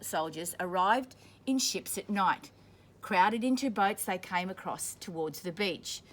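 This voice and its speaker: A woman reads a picture book aloud to children, stopping to explain words in plain terms. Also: on the low side. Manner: pauses to explain words simply. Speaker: school staff member reading aloud.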